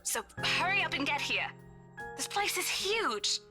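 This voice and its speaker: A high girly young woman in a visual novel, chatty n exasperated, music playing behind her. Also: measured pace. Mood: skeptical, chatty. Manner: chiding, expressive. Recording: game audio with music.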